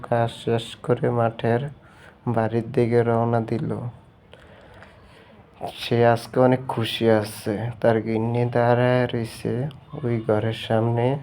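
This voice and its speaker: A man's voice: medium pitch, completely flat delivery, slow, darkish tone, clear, resonant tone. A man with a Bangladeshi Bengali accent narrating a simple folk tale in plain, colloquial, repetitive language. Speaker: storyteller.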